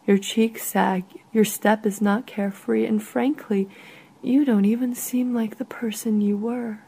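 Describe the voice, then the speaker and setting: A woman reading a literary short story in a soft, measured way, with a husky, breathy voice. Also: a little high, fairly even intonation.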